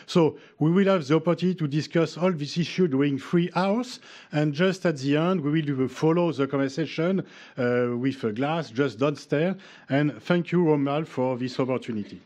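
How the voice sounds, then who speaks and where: A man opening a conference session with halting formal remarks and many fillers. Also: a little high, darkish tone. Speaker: conference host.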